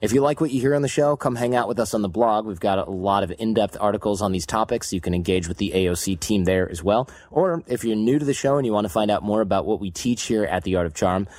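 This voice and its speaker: A man hosting a podcast, reading a brisk, upbeat promo and interviewing a guest. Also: lowish voice, a little mellow, no echo. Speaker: podcast host. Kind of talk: scripted promo read and interview questions.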